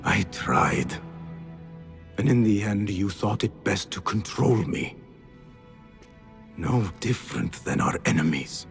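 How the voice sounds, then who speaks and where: A man's voice: lowish voice, expressive, slow pace, gravelly, pauses often, full character voice. A man playing a bitter, defiant young assassin in a dramatic video game cutscene, resolute and intense. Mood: pained, resentful. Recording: loud cinematic game music underneath.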